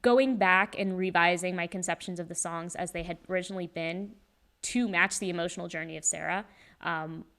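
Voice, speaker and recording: Woman, casual and talkative, smooth resonant tone, holding a handheld mic. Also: lowish voice, fairly even intonation, fairly quick, a bit bright. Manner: chatty and rambling, many ums, laughs often. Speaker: singer and voice actor in a fan musical. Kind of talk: casual livestream Q&A chat. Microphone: handheld microphone.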